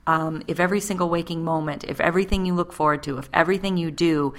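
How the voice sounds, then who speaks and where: Low-voiced chatty woman in her thirties. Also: fairly even intonation, fast talker. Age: 30s. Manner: chatty, full of fillers. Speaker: podcast co-host. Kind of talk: casual conversation.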